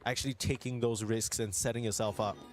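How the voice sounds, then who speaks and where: A man's voice: on the low side, steady tone, quick pace. A man commentating live on an esports match, moving between conversational analysis and play-by-play, with music behind him.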